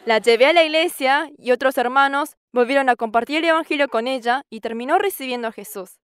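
A woman's voice: high-pitched, a little fast, fairly bright tone, clear, resonant tone, no room sound, a faint crowd. A woman in her sixties, a farmer, giving an earnest church testimony on a studio stage, reciting scripture and acting out conversations. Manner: earnest, quotes scripture at length and acts out dialogue with a raised voice. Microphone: lapel mic.

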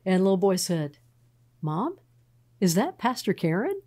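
A woman's voice: mid-range voice, very animated, a little slow. A middle-aged woman pastor from Texas with a Texan accent, telling stories in a casual podcast interview with many fillers and restarts. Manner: warm storytelling, frequent fillers and restarts.

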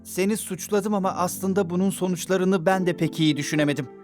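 A gentle cartoon pony talks in a soft, mid-range voice, as an actor dubs him. His tone is earnest and a little wistful, with light music underneath. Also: mid-range voice, fairly quick, close to an everyday voice. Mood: regretful. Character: gentle pony who longs to be a unicorn. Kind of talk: dubbed cartoon acting.

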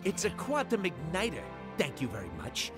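A cocky little raccoon with a big gun for a video game. He is highish and lively in pitch, irritable and sarcastic, over loud music. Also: normal speed. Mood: indignant. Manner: sarcastic, prickly. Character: small cocky, irritable male raccoon gunslinger. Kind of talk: video game cutscene acting.

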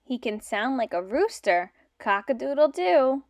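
A young woman teaching and reading aloud to small children in simple, deliberate phrases. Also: high-pitched, sing-song, noisy background. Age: young adult. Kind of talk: children's read-aloud lesson.

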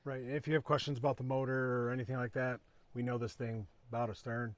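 A man in his thirties giving a casual, matter-of-fact boat tour, a little quiet because he is off the mic. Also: mid-range voice, level tone, quick pace, no echo. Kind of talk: casual walkthrough vlog. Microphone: no mic of his own, picked up from a distance. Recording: noisy audio with clicks.

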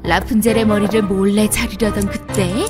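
A woman narrating a scripted children's fairy tale in an expressive storybook manner. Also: medium pitch, moderate pace, over music.

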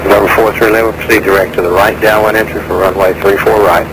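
A man's voice: fairly low pitch, flat delivery, fast. A man working as an air traffic controller, giving clipped procedural instructions over aviation radio. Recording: aviation radio with loud engine noise.

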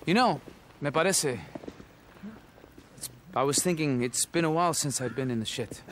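Man playing middle-aged army doctor, calm, bilingual, fairly high male voice, video game acting, faint ambience. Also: moderate pace, a little hiss or hum, natural voice. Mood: calm, wry. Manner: switches between Spanish and English.

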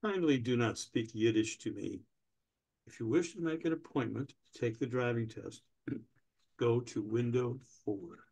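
A man in his sixties playing a pedantic, obstructive older DMV clerk in a staged comedy reading, officious, deadpan and stalling. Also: mid-range voice, measured pace, vocal fry, slightly rough. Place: indoors, on a video call.

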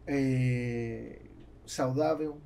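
A man's voice: mid-range voice, fairly even intonation, extremely slow, gravelly, mellow, a little hiss or hum. A man in his forties with an Argentine Spanish accent, a native Spanish speaker who mixes Spanish words into casual podcast talk. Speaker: guest entrepreneur. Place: small foam-padded indoor podcast studio. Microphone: studio mic on boom arm.

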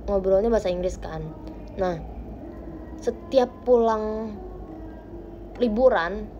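A young woman hosting a casual livestream from her bedroom, chatting with viewers into a phone held close. She talks playfully, with quiet murmurs that jump into bright, lively bursts. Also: a little high, lo-fi sound, slight background noise. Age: young adult. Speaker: idol livestream host. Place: small bright bedroom indoors. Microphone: phone camera close to face.

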